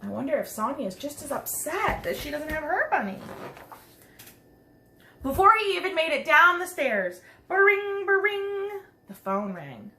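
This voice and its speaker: A woman reading a picture book aloud to young children, expressive, with chatty asides and simple questions to her listeners. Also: high-pitched, unhurried. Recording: roomy echo, some background noise.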